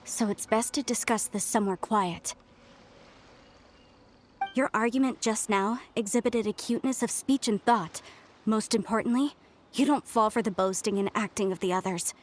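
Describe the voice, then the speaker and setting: A woman's voice: mid-range voice, moderate pace, slight background noise, natural voice. An actress playing an earnest young woman researching history in a video game, speaking politely.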